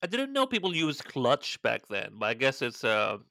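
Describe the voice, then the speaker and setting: Male podcast co-host, bright edge, wry deadpan humor, dry close mic. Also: medium pitch, normal speed, creaky, no echo. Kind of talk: casual chat recapping a reality show. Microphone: remote call microphone.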